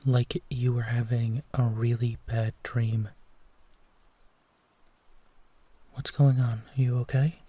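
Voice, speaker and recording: A man playing a gentle, protective werewolf, speaking tenderly and soothingly in an intimate sleep-aid roleplay, with a soft, breathy voice. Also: medium pitch, little pitch movement, no room sound. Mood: soothing concern. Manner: hushed, tender, unhurried reassurance with pauses. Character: gentle, protective male werewolf comforting a frightened companion.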